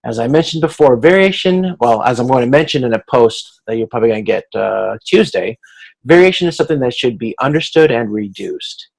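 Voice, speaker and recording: A man gives informal class feedback as an instructor. His voice has heavy vocal fry and a gravelly grain, at a middling pitch. He explains things conversationally, in a halting way that starts and stops as he works through each point. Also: animated, a little mellow, low-quality recording. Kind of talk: informal recorded lecture in a video conference.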